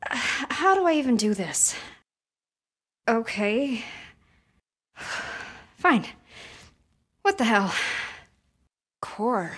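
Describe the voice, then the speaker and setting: An actress voicing a guarded, sarcastic, distressed young American woman with powers in a video game, speaking in a breathy, emotionally expressive way. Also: mid-range voice, exaggerated voice. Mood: skeptical, hesitant. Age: young adult.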